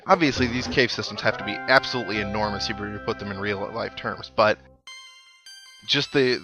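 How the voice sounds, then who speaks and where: Young man rambling over game music. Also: fairly low pitch. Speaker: video game let's player. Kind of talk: casual gameplay commentary. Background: video game music.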